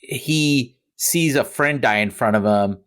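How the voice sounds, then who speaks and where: A man chatting casually and giving his opinions as a podcast panelist, with somewhat halting phrasing. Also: medium pitch, a bit bright, clear, resonant tone.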